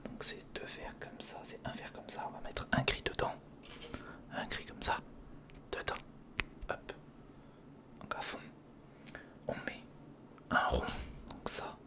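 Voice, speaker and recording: Whispered, breathy ASMR build commentary. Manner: casual muttering, thinking aloud. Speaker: ASMR video creator.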